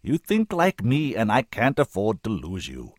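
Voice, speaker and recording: Man acting a weighty, very deep old senator. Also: sing-song, dry recording, studio quality. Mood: thundering, commanding. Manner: slow, deliberate, thundering when roused. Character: old male senator, weary, commanding, gravely kind.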